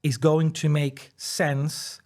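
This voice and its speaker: A man's voice: a little high, little pitch movement, slow pace, a little mellow. A Dutch-speaking man, a guest in a casual interview, who talks earnestly and encouragingly. He often restarts his sentences and repeats words as he thinks aloud, and drops in light laughs between his points. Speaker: interview guest, dyslexia educator.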